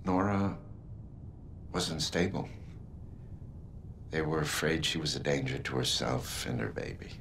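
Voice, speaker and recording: A middle-aged man acting a concerned, guarded scientist in a video game drama, soft-spoken and measured, with a gravelly voice. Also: animated, heavily acted. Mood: apologetic, grave. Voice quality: gravelly, breathy at phrase ends. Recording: low rumbling background noise.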